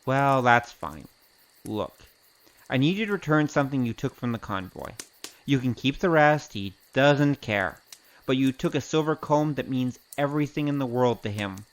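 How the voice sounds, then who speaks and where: A woman's voice: a bass voice, lively intonation, normal speed, rough recording. A woman acting in an audio drama as a wry, streetwise investigator with a cocky, teasing, casual manner.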